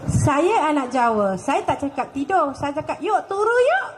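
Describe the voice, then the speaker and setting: A woman's voice: high voice, big pitch swings, normal speed, a crowd in the background. A woman delivering short rehearsed lines for a social clip, recorded from a little way off.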